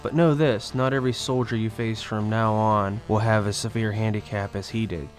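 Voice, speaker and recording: A man's voice: on the low side, steady tone, normal speed, lightly acted. A man voicing a cold, calculating inner-voice companion in an amateur audio drama, speaking in a formal, coolly goading way. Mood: stern warning. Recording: faint background music.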